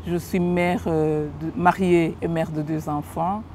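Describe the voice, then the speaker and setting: Soft-toned woman hesitating outdoors. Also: fairly low pitch, little pitch movement, slight background noise. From Rwanda. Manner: hesitant, many pauses and fillers. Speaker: interviewee giving testimony. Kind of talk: personal testimony interview. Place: park lawn outdoors.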